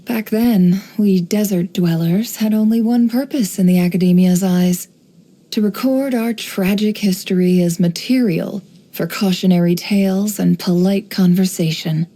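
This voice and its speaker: An actress voicing a sly, composed young woman, an intelligence broker in a video game, speaking in a measured, coolly knowing, teasing way. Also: mid-range voice, fairly even intonation, dark tone. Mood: dry, bitter. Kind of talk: video game character voice lines.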